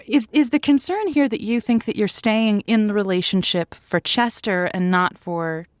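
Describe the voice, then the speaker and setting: A woman's voice: medium pitch, moderate pace, no room sound, studio quality, natural voice. A woman playing a thoughtful, sympathetic host of a conversational call-in advice show, with a hesitant, stammering delivery. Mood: amiable, thoughtful.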